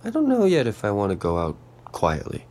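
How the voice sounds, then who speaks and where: A man playing a guarded, lonely app user in a naturalistic audio drama, speaking in a hesitant, subdued, gravelly voice. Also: fairly low pitch, wildly swooping pitch, brisk, a little mellow. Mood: guarded, thoughtful. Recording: noticeable background noise and ambience.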